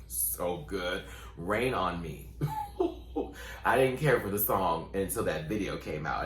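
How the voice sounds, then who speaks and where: A man chatting sassily through a casual recap, his voice still low and groggy from just waking up. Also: a bit bright, roomy sound, a little hiss or hum. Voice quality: voice sitting lower than his normal. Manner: sassy, playful, quick asides. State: just woke up, morning voice. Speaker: vlogger and show recapper.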